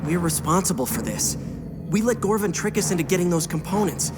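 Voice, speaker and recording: A man's voice: sits high, lively intonation, a little fast. An actor playing a brave, confident teenage boy hero in a video game, earnest and casual. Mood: determined. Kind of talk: video game cutscene voice acting. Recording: music behind the dialogue.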